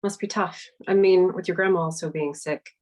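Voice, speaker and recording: A woman's voice: fairly low pitch, steady tone, a little fast, darkish tone, natural voice. A woman reading the part of a tense wealthy wife and mother at a screenplay table read, with clipped, guarded lines. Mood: subdued.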